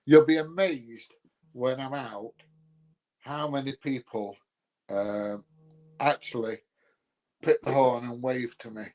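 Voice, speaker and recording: A man's voice: medium pitch, slow, pauses often, a little mellow. A man with a Nottinghamshire accent that sounds close to Yorkshire, hosting a livestream with casual, blunt, drily grumbling chat. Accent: Nottinghamshire, Yorkshire-sounding English. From Nottinghamshire, England. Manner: blunt, colloquial, grumbling dry humour. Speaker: livestream host. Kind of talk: casual chat answering live viewer comments.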